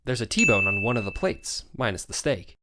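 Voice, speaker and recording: A woman voicing a dry, sarcastic young male lawyer with deadpan asides in a video game. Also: rumbling low, normal speed, very noisy. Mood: pensive. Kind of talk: scripted game dubbing. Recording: background music throughout.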